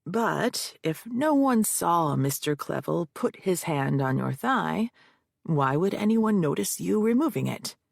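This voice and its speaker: A cold, needling male defense lawyer, read by a woman who grinds out every question in a low, gravelly voice. The sound is close and bone-dry, as in a studio audiobook reading. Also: sing-song, measured pace, dry recording, heavily acted. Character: aggressive male defense lawyer cross-examining.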